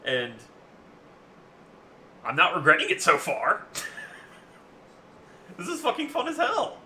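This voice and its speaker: A young man with a booming voice, rambling through a casual story as a streamer, swearing freely with lots of fillers. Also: huge pitch swings, slow pace, bright, forceful tone, slight background noise.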